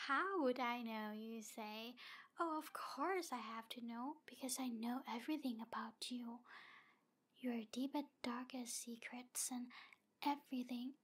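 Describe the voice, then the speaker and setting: A woman voicing a jealous, lovesick female demon in an ASMR roleplay, halting and breathy, often slipping toward a whisper. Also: a little high, pushed and bright, exaggerated voice. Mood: jealous, menacing. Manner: breathy, halting delivery with whispered phrases and giggles. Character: jealous, obsessive female demon in love, taunting and wounded. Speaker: audio roleplay performer.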